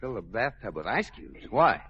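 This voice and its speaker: An actor playing an exasperated but affectionate husband in a mid-century radio comedy, incredulous and animated. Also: male voice, lowish voice, normal speed, slightly rough, full character voice. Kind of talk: 1940s-50s radio comedy acting. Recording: very noisy, muffled old-time radio recording.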